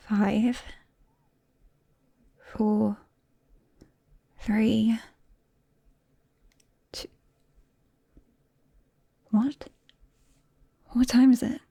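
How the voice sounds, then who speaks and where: A shy, awkward vampire girl played by a woman with a British accent, in an intimate ASMR roleplay. Her voice is soft and mellow with a creaky edge, often sinking into a whisper. She speaks slowly and hesitantly, gentle and bashful with her best friend. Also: on the high side, no room sound, exaggerated voice. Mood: nervous, then flustered.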